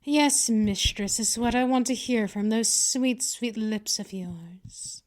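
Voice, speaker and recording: Woman playing a slow, teasing female vampire mistress. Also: a little high. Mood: commanding. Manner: slow, deliberate, teasing, condescending. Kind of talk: ASMR roleplay, scripted monologue.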